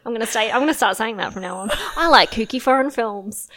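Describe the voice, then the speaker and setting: A woman's voice: on the high side, expressive, fast talker, fairly bright tone. An Australian woman co-hosting a podcast, chatty and giggly as she talks. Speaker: podcast co-host, film reviewer. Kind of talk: casual chat.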